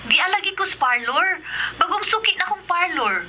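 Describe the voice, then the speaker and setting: An actress with a Visayan Filipino accent playing a gossipy, coy friend passing on news in a radio drama. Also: female voice, extremely high pitch, expressive, moderate pace, noisy background. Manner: coy, hesitant tattling. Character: gossiping woman friend coyly breaking bad news.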